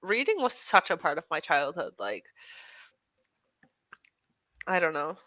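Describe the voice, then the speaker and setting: A woman's voice: lowish voice, sing-song, unhurried, sharp tone, dry recording. A woman in her early thirties chatting casually as she hosts a book club discussion. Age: early 30s. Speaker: book club host moderating a chat. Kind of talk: casual chat.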